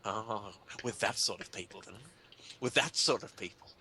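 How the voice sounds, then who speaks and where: A man's voice: lowish voice, moderate pace, sharp tone, slight background noise. A man giving a theatrical, pompous dramatic reading of comic prose in a mock-grave manner. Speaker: reader of a humorous article.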